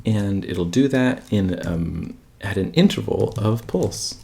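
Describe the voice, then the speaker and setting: A man's voice: on the low side, expressive, slow, gravelly, dark tone. A man giving an informal coding screencast tutorial, thinking aloud and often checking in with rhetorical questions. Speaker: presenter of a coding tutorial channel.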